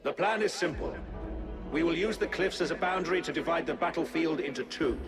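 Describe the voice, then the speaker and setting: An actor playing a resolute military strategist in a video game, giving a firm, declarative battle briefing. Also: male voice, fairly high pitch, a little fast, gravelly. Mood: determined. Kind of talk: dramatic scripted game dialogue, battle briefing. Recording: echoing voice under music.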